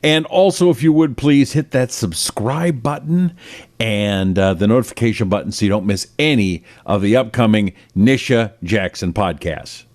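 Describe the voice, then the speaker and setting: A man co-hosting a talk show who reads the show's plugs with a lively, announcer-like delivery. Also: lowish voice, normal speed. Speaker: co-host.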